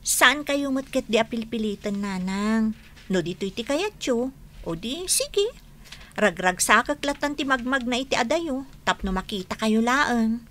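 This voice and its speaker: A woman's voice: on the high side, animated, unhurried, bright, forceful tone. A woman playing a devoted, polite young daughter in a radio drama, with an Ilocano accent. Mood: affectionate.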